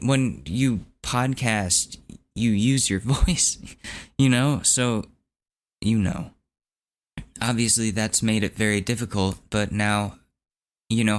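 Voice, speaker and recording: Young male podcast host, low-pitched, candid rambling monologue, slightly strained voice. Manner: rambling, candid and wry, with restarts and swearing. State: voice recovering from hoarseness. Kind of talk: casual solo podcast monologue.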